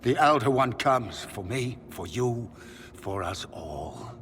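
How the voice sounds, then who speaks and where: Casting: a defeated sorcerer father for a fantasy game, measured and slow, grave, theatrical, full of remorse. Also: medium pitch, lively intonation, heavy background noise. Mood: bitter resignation. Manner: grave, theatrical, resigned delivery. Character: broken, remorseful middle-aged magister and desperate father. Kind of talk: dramatic video game dialogue.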